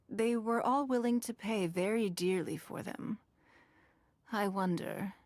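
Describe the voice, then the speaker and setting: A poised, commanding rich woman runs her own auction in a video game, as an actress plays her. She speaks in measured, faintly amused tones at a middle pitch. The sound is dry and close. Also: no room sound, close to an everyday voice. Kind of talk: game voice acting.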